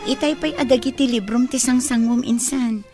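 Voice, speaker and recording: An actress playing a concerned, cautioning cousin and confidante in an Ilocano radio drama. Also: female voice, fairly high pitch, steady tone, normal speed, loud music behind the voice, lightly acted.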